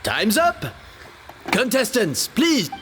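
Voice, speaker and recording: High, slow, bouncy game show host for a cooking contest. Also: male voice, wildly swooping pitch, fairly bright tone, a little hiss or hum, heavily acted. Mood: announcing. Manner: announcing, showy. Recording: game dialogue over music.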